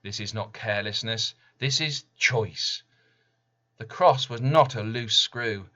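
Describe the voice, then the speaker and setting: A man reading his poem as dramatic spoken word, deliberate and intense, with weighty pauses. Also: lowish voice, sharp tone, lo-fi sound. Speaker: poet reading own work.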